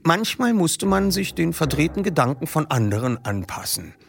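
A man's voice: a little high, sing-song, normal speed, vocal fry, slight background noise. A man reading a book passage aloud as narrator, telling the story expressively.

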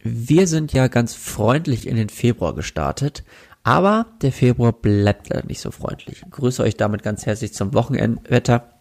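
A man with a cold, his voice congested and sniffling, giving a relaxed, chatty weekend weather forecast. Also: mid-range voice, moderate pace, vocal fry, faint music behind. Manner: relaxed, chatty, with filler hesitations. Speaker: weather presenter. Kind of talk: weekly weather forecast, casual address.

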